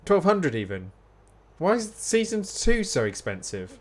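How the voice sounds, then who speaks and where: A man's voice: sits high, huge pitch swings, a little slow. A man live-streaming a game, commenting casually as he plays, thinking aloud, asking questions and reading menu items out. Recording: music playing behind. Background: guitar music.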